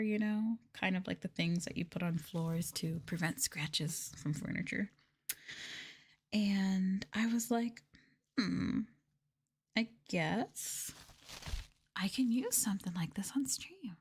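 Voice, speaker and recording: A woman streaming ASMR, chatting casually and softly to her viewers, often slipping toward a whisper. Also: medium pitch, husky. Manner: soft-spoken, often dropping into whispers. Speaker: VTuber streamer hosting an ASMR livestream. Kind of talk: casual ASMR soft talking to chat. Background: crinkling plastic and tapping trigger sounds.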